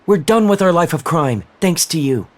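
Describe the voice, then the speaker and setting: An actor playing an eager, hot-headed young reformed thug in a video game, speaking urgently. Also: male voice, fairly high pitch, lively intonation, moderate pace, lightly acted. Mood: pleading, determined. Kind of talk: video game cutscene acting. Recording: loud game music behind.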